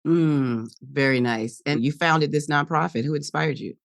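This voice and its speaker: A woman's voice: low-pitched, moderate pace, creaky, dry recording, clean studio sound. A woman hosting a podcast interview, upbeat and enthusiastic with her guest. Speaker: podcast host.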